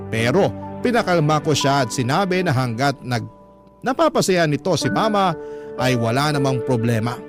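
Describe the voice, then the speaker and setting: A man with a Filipino accent playing a caring adult son who narrates his story for radio in a measured, earnest way. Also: mid-range voice, close to an everyday voice. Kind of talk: dramatised first-person radio narration in Tagalog. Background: music bed.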